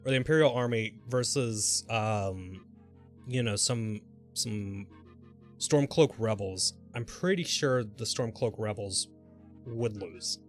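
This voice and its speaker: A man livestreaming a video game, chatting casually and thinking aloud in a halting, mildly sweary way. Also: lowish voice. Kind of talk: casual gameplay commentary and rambling chat. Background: music.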